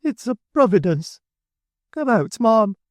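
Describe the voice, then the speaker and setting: A man reading aloud and voicing a pompous, proper middle-aged woman who is triumphant and officious, in a high, overbearing voice. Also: big pitch swings, slow, darkish tone, dry recording, clean studio sound, exaggerated voice. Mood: commanding, excited. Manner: shrill, high, stately and overbearing.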